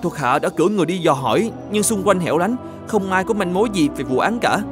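A quick, high-voiced dutiful officer. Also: male voice, animated, music in the background. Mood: dutiful, earnest. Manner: deferential, brisk reporting. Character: dutiful, deferential male officer serving the governor. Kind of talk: animated folk tale dubbing.

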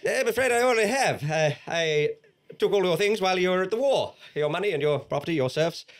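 A man's voice: high-pitched, animated, fast. A man playing a cruel, greedy feudal lord in a live comedy drama, stammering through hesitant, apologetic bluster. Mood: flustered, petty. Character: cruel, greedy, shifty old feudal lord, flustered and petty. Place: small theatre stage before an audience. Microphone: stand microphone.

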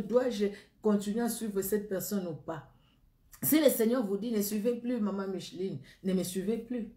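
A woman's voice: medium pitch, mellow, roomy sound. A middle-aged woman with a Congolese accent, preaching a sermon and leading a spoken prayer in an earnest, repetitive way. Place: indoor room against a plain wall.

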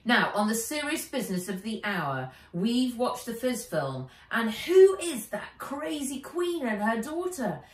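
A woman voicing a bossy, lively schoolgirl in a children's story, brisk, teasing and emphatic. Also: fairly high pitch, pitched above the performer's own voice. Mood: incredulous. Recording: roomy, distant home camera sound.

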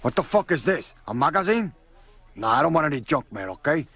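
A man's voice: on the high side, big pitch swings, fairly quick, a bit bright, breathy edge, no room sound, heavily acted. An actor playing a brash, hot-tempered male drug lord in a video game cutscene, with a profane, swaggering way of talking. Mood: cocky. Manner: swaggering, profane, cursing constantly.

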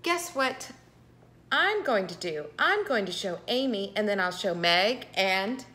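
A woman voicing an excited, chattering preschool girl from a storybook. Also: mid-range voice, measured pace. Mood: excited, eager.

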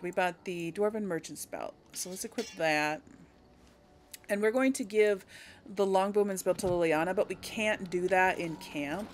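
A woman's voice: lowish voice, a little hiss or hum. A woman hosting a gaming video, giving casual, chatty and rambling let's play commentary.

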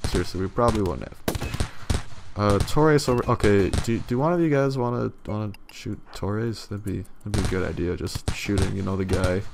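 A young man with a slightly hoarse voice, giving loose, rambling gameplay commentary and swearing casually. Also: lowish voice, normal speed, background noise. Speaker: let's play gamer.

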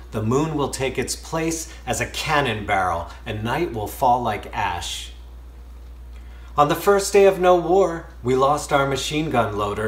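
A man reading a poem aloud expressively, with measured, emphatic phrasing and pauses, in a small room. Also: medium pitch. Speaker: poetry reader. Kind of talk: expressive poetry recitation. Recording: roomy indoor echo.

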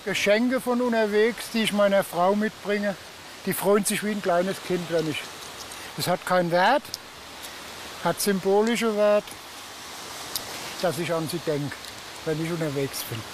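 A man's voice: high-pitched, unhurried, pauses often, poor audio. An older man, a long-haul truck driver, talking hesitantly and sentimentally on a beach, often trailing off. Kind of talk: halting, emotional interview answers. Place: outdoors on a beach. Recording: outdoor beach with noise behind.

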